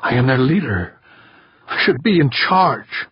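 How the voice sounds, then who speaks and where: A man's voice: medium pitch, big pitch swings, a little slow, breathy edge, no echo, pitched above the performer's own voice, full character voice. A man voicing a weary, proud mission leader who broods in pain, in an audiobook with dull sound. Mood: brooding resignation. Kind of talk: audiobook character dialogue.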